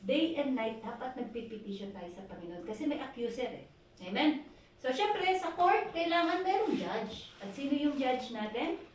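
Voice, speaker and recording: A woman's voice: sits high, expressive. Filipino woman pastor preaching in an echoey church. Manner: preaching with call-and-response questions, reading scripture slowly. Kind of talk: sermon exhortation at a prayer meeting. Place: church hall. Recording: echoey hall from far off, faint noise.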